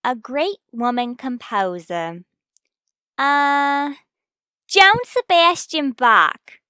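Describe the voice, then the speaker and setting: A woman playing a brash, sassy tavern owner's daughter in an old-time radio comedy, firing back quick comebacks. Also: high-pitched, lively intonation, pushed and bright, clear, resonant tone, no echo, studio quality, heavily acted.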